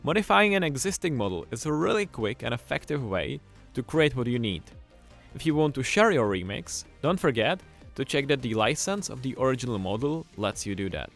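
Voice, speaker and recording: Male tutorial narrator, mid-pitched and animated, scripted and enthusiastic, faint music underneath. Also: fairly quick, creaky. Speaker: tutorial presenter.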